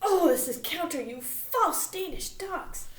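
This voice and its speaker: A woman voicing a frantic male messenger who rushes his warning loudly and urgently. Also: very high voice, animated, echoey room, a little hiss or hum, pitched above the performer's own voice. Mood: alarmed. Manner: urgent, loud, hurried.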